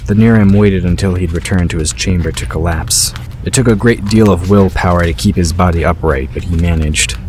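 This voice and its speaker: Deep-voiced man narrating a fantasy story. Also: brisk, hardly any pauses, darkish tone. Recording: clear background ambience noise.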